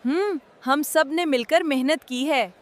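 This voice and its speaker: A woman voicing a kind, caring female vegetable in a children's cartoon, with a gentle, reassuring manner. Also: high voice, faint music behind, natural voice. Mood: kind, earnest. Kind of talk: children's cartoon moral story dialogue.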